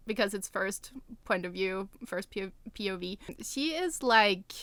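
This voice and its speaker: A woman's voice: mid-range voice, fairly bright tone, no echo. A woman co-hosting a podcast, chatting casually about writing. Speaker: podcast co-host and co-writer.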